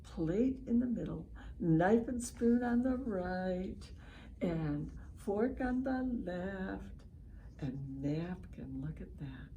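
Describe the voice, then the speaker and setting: A woman hosting a children's storybook read-aloud, speaking in an encouraging, expressive way and pausing to ask young listeners questions. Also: fairly low pitch, slight background noise, poor audio. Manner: encouraging, expressive, lingers on phrases and prompts listeners. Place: at home, online session.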